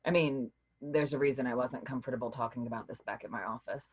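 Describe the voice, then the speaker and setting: A woman playing a weary but caring literature professor in a staged reading, speaking in a measured, hesitant, reassuring way with a husky voice. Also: rumbling low, fairly even intonation, a little mellow. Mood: cautious, measured.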